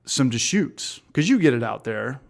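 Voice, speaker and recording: A man's voice: mid-range voice, sing-song, moderate pace, creaky. A man in his late thirties co-hosting a casual beer livestream, rambling and chatty as he greets the chat. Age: 30s. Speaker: livestream co-host.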